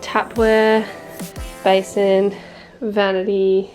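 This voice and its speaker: A woman's voice: mid-range voice, flat delivery, drawn-out words, a little mellow, faint music behind, rough recording. A young Australian woman with a snuffly, blocked nose, chatting casually and laughing while filming herself at arm's length in a bathroom under renovation. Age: young adult. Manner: chatty, laughing, filler-heavy. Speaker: vlogger. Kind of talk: casual vlog chat. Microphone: handheld camera at arm's length.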